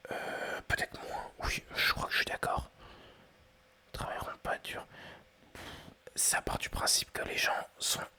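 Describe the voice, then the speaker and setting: A man in his twenties whispering ASMR-style as he thinks aloud through quiz questions, hesitant and rambling with many pauses and restarts. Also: pushed and bright. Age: 20s. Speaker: ASMR creator.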